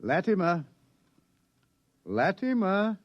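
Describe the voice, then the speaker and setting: An actor playing a grave older male scientist who lectures emphatically, with dramatic pauses, in old-time radio style. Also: high voice, very animated, full character voice. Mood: grimly intriguing. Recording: dull vintage radio recording.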